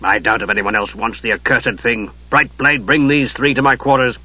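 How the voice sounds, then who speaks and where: A man's voice: medium pitch, steady tone, normal speed, sharp tone, husky. A man voicing a grim, commanding warlord with a clipped delivery, on a dull lo-fi recording.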